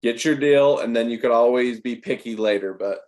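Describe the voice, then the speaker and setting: Guy host, native english, average pitch voice, just chatting casually w his guest. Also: normal speed, darkish tone. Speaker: interviewer, host. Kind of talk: casual chat.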